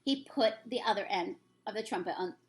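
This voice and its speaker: A woman's voice: medium pitch, brisk. A woman reading a children's storybook aloud as a teacher would, with occasional stumbles.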